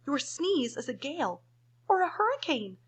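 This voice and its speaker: A woman's voice: high-pitched, big pitch swings, moderate pace, voice pushed up. A woman voicing an alarmed storybook buffalo who pleads and complains in an emphatic sing-song. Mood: alarmed pleading.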